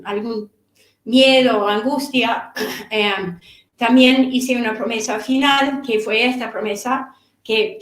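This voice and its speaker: Halting middle-aged woman in an echoey room. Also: a little high, a bit bright. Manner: halting, repeats words while searching for them. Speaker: author and course instructor. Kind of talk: seminar talk.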